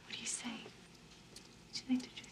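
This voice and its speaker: An actress playing a new mother who gently apologizes to her husband and speaks softly to him. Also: medium pitch, normal speed, very noisy, close to an everyday voice. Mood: soothing. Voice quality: soft, soothing, apologetic. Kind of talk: film drama acting.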